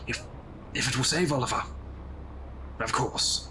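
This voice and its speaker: An actor playing a cautious, concerned gentleman in an audio drama, formal and measured. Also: medium pitch, animated, over music, lightly acted. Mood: guarded, concerned. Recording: clearly noisy ambience behind voice.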